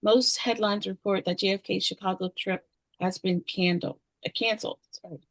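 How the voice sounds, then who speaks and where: A woman's voice: medium pitch, steady tone, moderate pace, no echo, poor audio. A woman narrating the stage directions of a screenplay in a scripted read-through.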